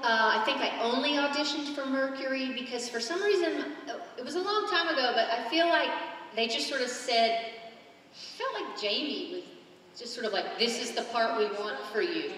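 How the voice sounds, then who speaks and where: A woman voice actress on a convention panel, talking hesitantly and unhurriedly with many pauses. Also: high voice, animated, a bit bright, slight background noise. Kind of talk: casual panel talk. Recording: echoey convention hall, far from mic.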